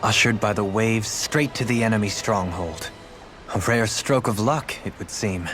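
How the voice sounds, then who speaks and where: A man playing a composed, dryly wry companion in a fantasy game, with formal, measured phrasing. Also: fairly low pitch, fairly bright tone, soft background music. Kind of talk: video game voice acting.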